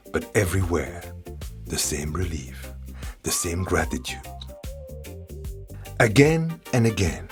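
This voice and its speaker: Deep, lively male documentary narrator. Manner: measured, reflective.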